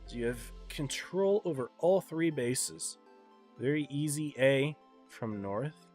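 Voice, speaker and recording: A man casting an esports match on a live stream, congested and tired, calling the play in a relaxed, subdued way. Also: on the high side, takes it slowly, soft background music. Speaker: esports caster.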